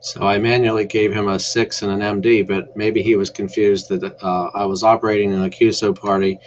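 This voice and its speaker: A man's voice: lowish voice, level tone, fairly quick, lo-fi sound, a little hiss or hum. A man presenting a casual screen-share tutorial in a laid-back, explanatory way. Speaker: amateur radio tutorial presenter.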